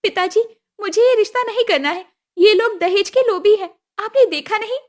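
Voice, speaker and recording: A woman's voice: extremely high pitch, normal speed, pitched above the performer's own voice. A woman playing an earnest young bride. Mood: firm. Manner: earnest, polite, firm.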